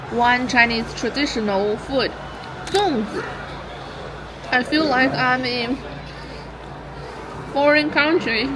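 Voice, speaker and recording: A woman vlogger talks casually and curiously on camera while trying food on a market street. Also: on the high side. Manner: curious, asks questions. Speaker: travel vlogger. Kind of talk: casual on-camera vlog talk. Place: outdoor market street and cafe. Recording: music and crowd noise.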